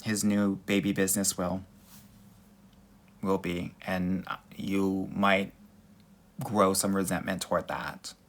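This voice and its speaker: A young man with a slightly low voice runs a live dating Q&A, reading viewer comments into his phone. He talks with sass and energy and calls his listeners girl and honey. He comes from Southern California. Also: level tone, slow, pauses often. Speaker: dating coach.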